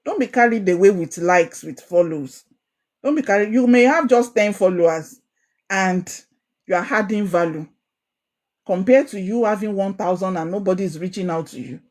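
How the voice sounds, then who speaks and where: A young woman with a Nigerian Ijesha accent, coaching a women's group in an online course, animated and encouraging, often repeating phrases for emphasis. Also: medium pitch, normal speed. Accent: Nigerian, Ijesha Yoruba.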